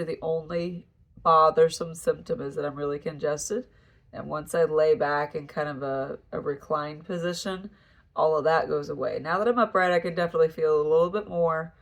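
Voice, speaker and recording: A woman talking casually in a vlog update, tired and very congested, her voice nasal like a bad cold. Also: sits low. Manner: tired, relaxed recovery chat. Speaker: farm vlogger.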